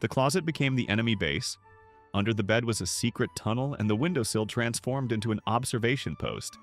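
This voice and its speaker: A man's voice: mid-range voice, fairly quick, soft background music. A man reading scripted nostalgic documentary narration.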